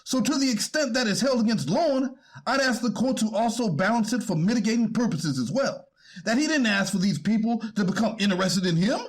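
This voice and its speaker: A defense attorney played by a man pleads for his client in a staged courtroom reading. His voice is high and husky, with lively swings in pitch. He stays formal and deferential to the bench, turning hesitant when challenged. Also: a little fast. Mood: earnest, pleading. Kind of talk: readers theater courtroom transcript reading.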